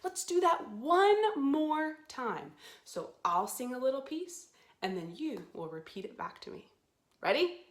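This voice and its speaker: A woman hosting a storytime for young children, talking to them in an animated way with simple questions and encouragement. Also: high voice, unhurried. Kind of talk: children's storytime presenting to camera. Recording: roomy, echoey sound.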